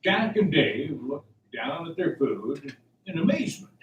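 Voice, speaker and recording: Male radio play narrator, animated delivery, recorded off-mic in an echoey room. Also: a little high, measured pace, lo-fi sound. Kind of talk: scripted radio drama narration. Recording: echoey room, distant mic, faint hiss.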